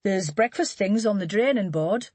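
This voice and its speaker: A woman voicing a brisk, practical male detective who speaks matter-of-factly. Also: medium pitch, animated, a little mellow, no room sound, close to an everyday voice.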